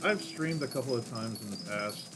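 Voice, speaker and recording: Deep-voiced man rambling over gameplay. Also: expressive, a little fast, over loud music. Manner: rambling, self-talking, counts under breath. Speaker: streamer. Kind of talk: casual gameplay commentary.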